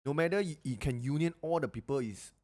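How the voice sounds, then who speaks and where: A man with a Malaysian Chinese English accent, co-hosting a podcast in casual chat, halting and repeating words, with colloquial particles. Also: fairly high pitch, a little mellow. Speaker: podcast co-host.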